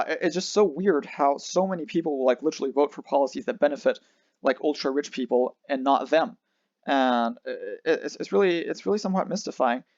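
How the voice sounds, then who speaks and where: A man's voice: mid-range voice, darkish tone, dry recording, lo-fi sound. A young man from near Geneva who switches between French and English, casually rambling through a livestream chat with lots of restarts and fillers. He speaks French with a Geneva Swiss accent. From France near Switzerland. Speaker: livestream host.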